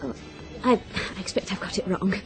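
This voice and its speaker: A woman's voice: medium pitch, a little fast, soft background music, close to an everyday voice. An actress playing a naive, muddled young woman with a hesitant manner in a radio drama. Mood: vague, flustered.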